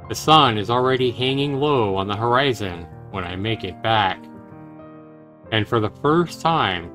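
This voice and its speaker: A man's voice: on the low side, fairly bright tone. A man livestreaming a game, reading its narration aloud dramatically with casual asides. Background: game music.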